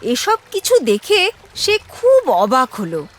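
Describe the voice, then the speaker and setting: A woman narrating a scripted story for children in an expressive way. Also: high voice, takes it slowly.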